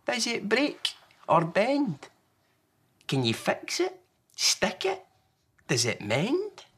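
A man's voice: high-pitched, wildly swooping pitch, takes it slowly, fairly bright tone, husky, pitched above the performer's own voice, heavily acted. A man voicing a small young storybook fox who is grumpy, needy and full of plaintive questions. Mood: questioning.

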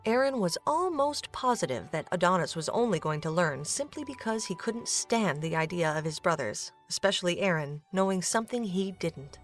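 A woman's voice: fairly low pitch, animated, moderate pace, hardly any pauses, faint music behind. A woman reading scripted narration for an audio drama, as a storyteller.

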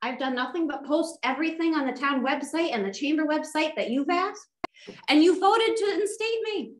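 A woman's voice: high voice, moderate pace. A woman at a town board meeting, upset and pressing board members with pointed questions. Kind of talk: heated public comment. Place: town hall meeting room. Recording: echoey meeting room.

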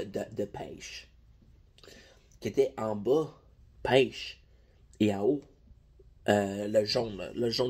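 A man's voice: lowish voice, darkish tone, a little hiss or hum. A young man with a Québécois French accent, chatting casually in a candy-tasting vlog, rambling and joking with his viewers, recorded on a phone at arm's length in a small room. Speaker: vlogger. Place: small room indoors at night.

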